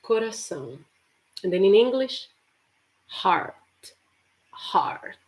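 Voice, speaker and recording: A woman with a Portuguese accent teaching a live online English lesson. She chats casually, laughs often, and repeats words slowly to show how they are said. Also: medium pitch, sing-song, low-quality recording. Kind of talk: live-streamed language lesson.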